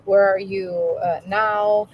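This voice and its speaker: A woman's voice: mid-range voice, fairly even intonation, takes it slowly, highs cut off, slight background noise. A woman hosting a company live stream over a video call while traveling, chatting casually and laughing lightly between questions. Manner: chatty, friendly, with small laughs and fillers.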